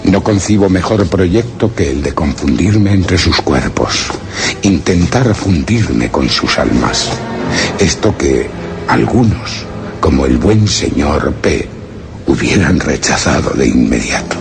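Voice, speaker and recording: A man's voice: deep voice, expressive, takes it slowly, gravelly, over music, exaggerated voice. An actor playing a solemn, wondering explorer who narrates his journal in a radio drama, grave and theatrical. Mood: grave, resolved. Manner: grave, literary, theatrical, with rhetorical questions. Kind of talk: Spanish radio drama suspense monologue.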